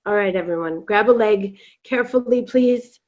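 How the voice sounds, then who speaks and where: A woman's voice: medium pitch, normal speed, a little mellow, natural voice. Woman as exasperated circus lion tamer, dull audio. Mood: weary, resigned. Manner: blunt, sarcastic. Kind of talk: staged reading of a play. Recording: dull video-call audio.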